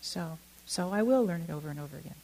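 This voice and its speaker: A middle-aged woman from Oakland giving a reflective talk, halting and candid, with many fillers and self-corrections. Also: deep voice, expressive, creaky, mellow, poor audio. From Oakland, California. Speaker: dharma talk speaker.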